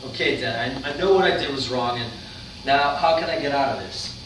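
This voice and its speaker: A man's voice: medium pitch, fairly quick, fairly bright tone, lightly acted. A man playing a plain-spoken young boxer in an amateur staged play reading. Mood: contrite. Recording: distant echoey room recording, faint noise.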